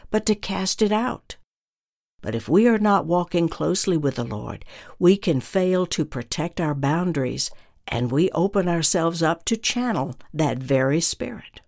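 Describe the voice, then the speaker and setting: Deliberate, earnest minister teaching. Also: mid-range voice, darkish tone. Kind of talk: scripted religious teaching.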